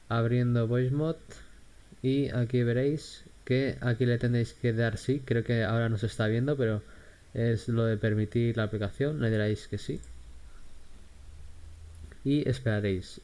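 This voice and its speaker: A man with an accent from Spain giving a casual, unscripted software tutorial in a hesitant, halting way. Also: medium pitch, steady tone. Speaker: tutorial host.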